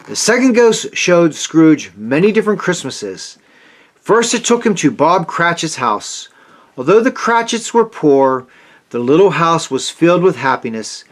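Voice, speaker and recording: This is a man telling a children's story from a script. He takes his time over it, lifting and dropping his fairly high voice with plenty of movement. The delivery is expressive and dramatic. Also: measured pace. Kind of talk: scripted read-aloud narration for children.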